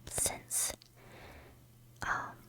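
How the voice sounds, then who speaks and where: A woman whose first language is Korean, streaming in soft unvoiced whispers, chatting hesitantly with many ums on a close microphone. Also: drawn-out words. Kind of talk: whispered ASMR chat with chat readers.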